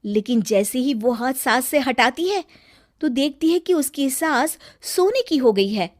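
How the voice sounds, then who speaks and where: A woman narrates a children's tale from a script. Her voice is clearly high-pitched. The delivery is that of storybook narration. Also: lively intonation, measured pace.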